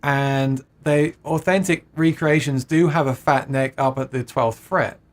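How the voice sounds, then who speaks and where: A man's voice: mid-range voice, unhurried. A man hosting a casual livestream, reading out viewer comments and chatting with plenty of laughs and restarts. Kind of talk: casual chat about guitars.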